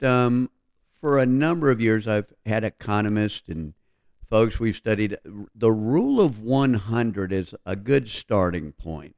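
A man's voice: lowish voice, gravelly, darkish tone, no echo, clean studio sound. A man explaining finances as an advisor on a talk show, in a folksy, patient way.

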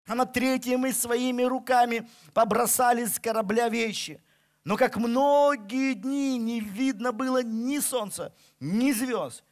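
Russian pastor guy preaching, pretty high voice, swings loud then soft. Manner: emphatic, rising and falling delivery. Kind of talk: sermon.

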